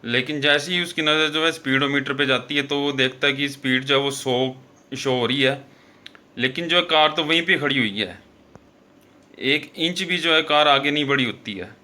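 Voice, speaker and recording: A man's voice: medium pitch, pushed and bright. A man narrating a horror story as a show host, in an informal, conversational way with frequent fillers and self-corrections.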